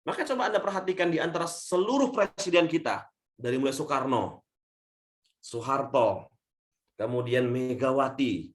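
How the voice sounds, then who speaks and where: A man from Indonesia, a leadership coach giving a webinar talk over a video call, swinging between loud and soft and often addressing the audience as friends. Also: lively intonation, normal speed. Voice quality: says his natural voice is thin and shrill.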